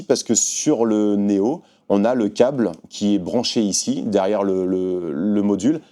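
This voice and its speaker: A man's voice: lowish voice, warm tone. A man casually explaining a product to viewers in an informal, hands-on way, as a shop presenter.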